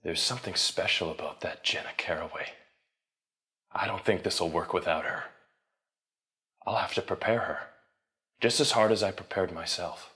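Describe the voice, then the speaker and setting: An actor in an audio drama playing an intense, solemn method actor, speaking quietly and deliberately. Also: male voice, deep voice. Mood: brooding, ominous. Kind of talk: audio drama acting, monologue.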